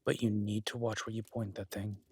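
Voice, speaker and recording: A man's voice: lowish voice, flat delivery, fairly quick, slightly rough, dry recording. A man playing a teasing, protective woodland cabin host, speaking intimately in an audio roleplay. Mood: alarmed, soothing. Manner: teasing, soft-spoken, intimate.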